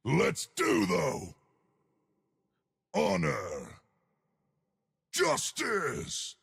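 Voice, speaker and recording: A hearty living rock giant from a video game, brawling yet good-natured. The voice is very rough and husky, delivered slowly, with big booming swoops in pitch. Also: male voice, huge pitch swings, a bit bright, heavily acted. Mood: boisterous. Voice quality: gravelly, husky. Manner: booming, hearty, slow and emphatic. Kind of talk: video game character voice lines.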